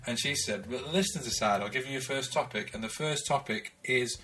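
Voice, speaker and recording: A man's voice: medium pitch, fairly quick, poor audio. Friendly Manchester man co-hosting a podcast over a call, easy mid voice, light hiss on the line. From Oldham, Manchester, England. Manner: relaxed, friendly banter. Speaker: podcast co-host. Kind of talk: casual chat. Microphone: video call.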